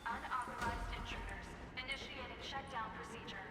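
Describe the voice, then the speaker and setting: Game alarm system: impersonal machine announcer, high voice drenched in echo and lingering reverb. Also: female voice, brisk, music in the background. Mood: cold alarm. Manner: flat, impersonal, clipped announcing. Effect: echoing intercom reverb. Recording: heavy echo with long reverb.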